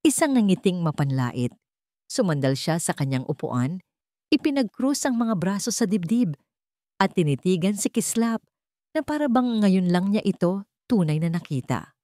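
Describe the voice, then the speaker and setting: A woman's voice: fairly low pitch, big pitch swings, normal speed, mellow, no echo, clean studio sound. A woman with a Filipino accent reading a scripted dramatic story as its narrator.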